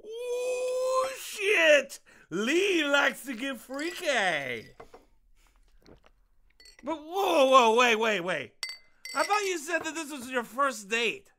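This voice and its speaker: A man's voice: very high voice, very animated, bright, forceful tone, a little hiss or hum, exaggerated voice. An actor playing a folksy father in an audio drama, who acts like a jovial barfly to confront a predator and can turn menacing. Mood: nosy, jovial. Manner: folksy, drawling, mock-friendly, then slow and threatening.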